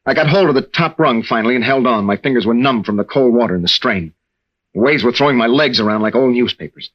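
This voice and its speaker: An actor playing a troubled young American navy veteran and husband, speaking earnestly and haltingly in an old radio drama. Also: male voice, mid-range voice, lightly acted. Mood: haunted, confessional. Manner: halting, earnest, with stammered restarts. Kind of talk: 1940s-50s radio drama acting. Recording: dull old-time radio recording.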